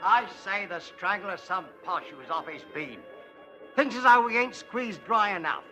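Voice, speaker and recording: Casting: bitter working-class Cockney, radio thriller, high cutting voice, sneering slang. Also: male voice, animated, unhurried, bright, forceful tone, soft background music, full character voice. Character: bitter, resentful working-class London pub man. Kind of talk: old-time radio drama acting.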